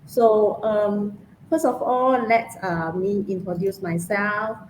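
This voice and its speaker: A woman hosts a casual live chat, leaning on many fillers as she goes. Her voice is recorded in a noticeably roomy space. A faint rumble sits underneath. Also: fairly even intonation, takes it slowly. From Malaysia. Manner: friendly, filler-heavy hosting. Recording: roomy echo.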